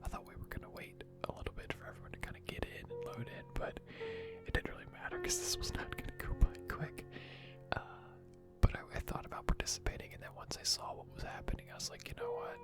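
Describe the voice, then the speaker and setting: A person whispering casual, rambling ASMR gaming commentary in a hushed, chatty way, close to the microphone. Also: very high-pitched, huge pitch swings, fast talker. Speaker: video game streamer. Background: music.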